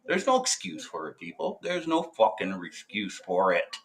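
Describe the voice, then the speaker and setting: A veteran man talking to camera in a casual monologue, halting and hesitant, full of filler sounds and restarts, blunt and profane. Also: lowish voice, wildly swooping pitch. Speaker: military veteran.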